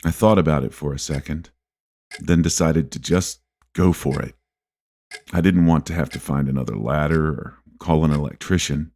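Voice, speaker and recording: A man narrating a scripted first-person horror story in a measured, deliberate way with dramatic pauses. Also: very deep voice, gravelly, darkish tone.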